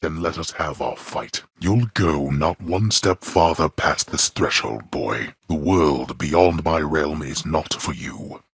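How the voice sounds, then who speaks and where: A man playing a stern, imperious god of the underworld and disapproving father in a video game, with haughty, formal, deliberate delivery and a gravelly, husky voice. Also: rumbling low, no echo, studio quality, exaggerated voice. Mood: stern menace. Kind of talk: theatrical video game voice acting.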